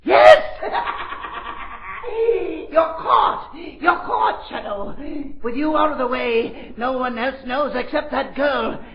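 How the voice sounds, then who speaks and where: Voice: high voice, lively intonation, slow pace, long pauses, shouting, some chatter behind, full character voice. An actor playing a crazed, lonely, cackling villain who repeats phrases gleefully in an old radio melodrama. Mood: frenzied triumph. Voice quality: cackling, creaky. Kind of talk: 1940s radio melodrama acting. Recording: muffled, echoey vintage radio recording.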